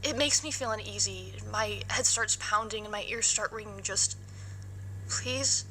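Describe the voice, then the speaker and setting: Woman acting young uneasy aquarium performer, bright tense voice, hesitant, polite, pleading, horror series, slight hum. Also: medium pitch, a little slow, poor audio. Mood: uneasy, reluctant.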